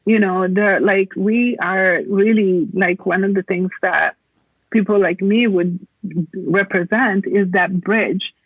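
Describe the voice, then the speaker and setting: A woman from Italy, explaining reflectively as a podcast guest in a halting, conversational way over a remote call. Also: mid-range voice, fairly even intonation, dry recording, phone-quality sound. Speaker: podcast guest, plant medicine practitioner.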